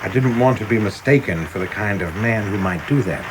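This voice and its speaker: A low-pitched young official played by a man in a radio play. He is formal and earnest, with an ambitious, zealous edge, over clearly noisy ambience. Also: animated, fairly quick, close to an everyday voice. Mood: deferential, eager. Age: young adult. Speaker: actor. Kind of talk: radio drama acting.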